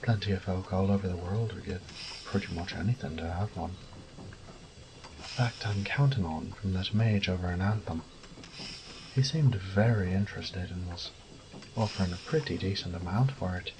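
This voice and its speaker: A man's voice: deep voice, normal speed, rough recording. A man playing a cocky, wry thief who mutters casual, sweary self-talk in an audio roleplay. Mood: musing, wry. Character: cocky male thief, wry and foul-mouthed, talking to himself. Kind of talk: solo audio roleplay acting.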